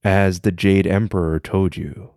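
A man's voice: low-pitched, a little slow, no echo, studio quality, voice pushed down. A man doing a wry old mystic. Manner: calm and wry.

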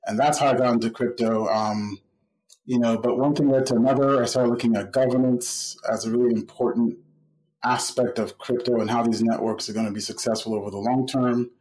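A middle-aged man from Nigeria answering interview questions in a relaxed, measured way with frequent pauses. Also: mid-range voice, low-quality recording. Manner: relaxed, measured, many ums. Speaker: podcast guest.

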